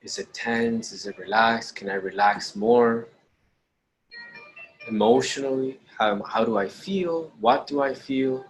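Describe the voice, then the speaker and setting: A man leading a guided meditation in a slow, deliberate, gentle instructional way, pausing between short phrases. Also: medium pitch, faint music behind, poor audio. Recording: clearly audible background noise.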